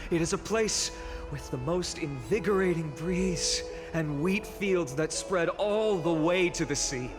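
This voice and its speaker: A young man playing an earnest, humble village hero in a video game, speaking sincerely and politely. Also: on the high side. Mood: wistful. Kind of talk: scripted game voice acting. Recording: music behind the voice.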